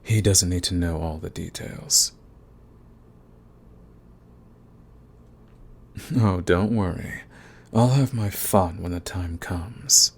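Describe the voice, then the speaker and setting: A man's voice: low voice, unhurried, a little hiss or hum. A man playing a smug, flirtatious villain who is fond of the enemy, in an intimate ASMR romance roleplay with taunting, drawling menace. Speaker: audio roleplay voice actor.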